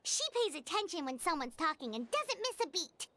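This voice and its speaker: A woman voicing a small, childlike fairy companion in a video game, squeaky and emphatic, fiercely supportive. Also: sing-song, moderate pace, bright, forceful tone, slight background noise, heavily acted. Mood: encouraging. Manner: emphatic, exclamatory. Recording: game music underneath.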